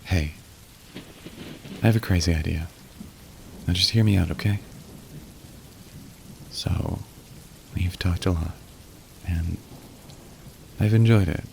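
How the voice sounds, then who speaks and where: Weary young male demon, played by a man, ASMR roleplay: deep, soft, confiding delivery over faint rain. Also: slow, vocal fry, pauses often, a little hiss or hum, full character voice. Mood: shy, hopeful. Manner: soft, hesitant, confiding, gently wry. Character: weary, tender young male demon working a phone line. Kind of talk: intimate ASMR roleplay acting. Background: rain and thunder.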